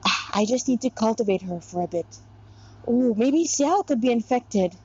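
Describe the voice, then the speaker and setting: A woman reader plays a devout female warrior from a story, worn down by her task. Her voice is fairly high, and she muses to herself in exasperation. The sound is a little dull and lo-fi. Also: lively intonation, moderate pace, a little mellow, husky. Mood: exasperated, suspicious. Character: weary, devout female warrior brooding over her mission.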